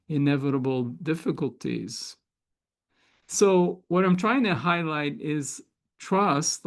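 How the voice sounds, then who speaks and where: A man with a Hebrew accent, native Hebrew speaker, hosting a spiritual gathering and giving a reflective talk with frequent hesitations. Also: a little high. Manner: thoughtful, with frequent hesitations and fillers.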